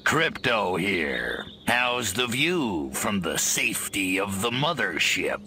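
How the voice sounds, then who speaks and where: Cocky alien invader, harsh drawl. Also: male voice, fairly low pitch, very animated, slow pace, sharp tone, a little hiss or hum, heavily acted. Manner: sardonic, tough-guy slangy drawl. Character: cocky, trigger-happy, wisecracking male alien invader. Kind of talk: animated video game voice acting.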